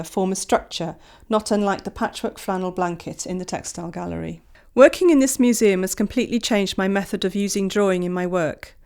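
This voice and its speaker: A middle-aged woman from Wales, an artist reading a calm, measured, reflective voice-over about her own work. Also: medium pitch. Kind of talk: scripted voice-over narration.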